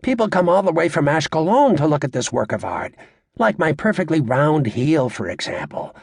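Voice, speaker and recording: A male narrator performs a brash club comedian, crude and cocky, in an audiobook. His pitch is raised and swings boldly around as he needles the crowd with showy sarcasm. The voice is raspy. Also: sing-song, normal speed, a little mellow, no echo, studio quality, full character voice. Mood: mock-aggrieved. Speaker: stand-up comedian.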